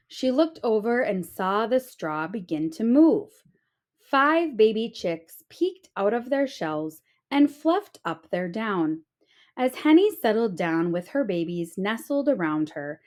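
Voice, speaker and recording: A woman reading a children's storybook aloud with expressive narration. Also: mid-range voice.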